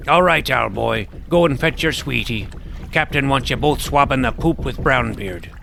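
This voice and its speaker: Man doing a gravelly pirate first mate. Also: medium pitch, moderate pace, background noise. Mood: teasing. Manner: salty pirate talk. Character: brisk pirate first mate, teasing and businesslike. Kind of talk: fantasy audio drama acting.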